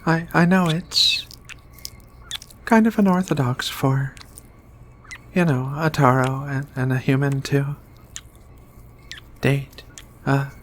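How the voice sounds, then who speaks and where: A gentle giant alien woman, played by a female performer, speaks softly in a low register for an ASMR roleplay. She takes her time, tender and bookish, with hesitant pauses and murmured hums. Faint noise sits behind the voice. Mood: affectionate, reflective. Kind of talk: soft-spoken ASMR roleplay.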